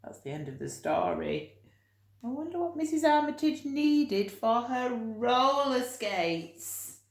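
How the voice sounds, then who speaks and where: A woman teacher reading a storybook to children, expressive, breaking off to put questions straight to her young listeners. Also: fairly high pitch, takes it slowly, gravelly, roomy sound. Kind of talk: animated children's storytelling with friendly asides.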